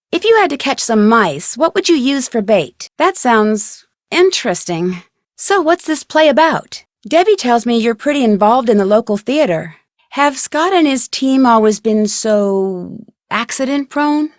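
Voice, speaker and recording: An actress playing a polite, inquisitive young woman detective in a video game, speaking in a clear, friendly, conversational way. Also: on the high side, no room sound, studio quality. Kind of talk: video game dialogue acting, isolated conversational lines.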